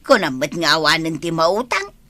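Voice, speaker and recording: A woman's voice: low voice, big pitch swings, moderate pace, bright, forceful tone, no echo, clean studio sound, heavily acted. A woman with an Ilocano accent playing a scheming, complaining village neighbour in a comedy drama. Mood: wheedling.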